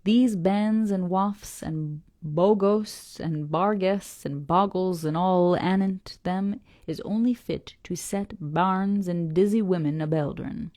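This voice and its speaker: Woman doing a gruff old fisherman. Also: on the low side, mellow, no room sound, clean studio sound. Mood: scornful. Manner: slow, dragged-out dialect speech. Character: old male fisherman, gruff, scornful, preachy.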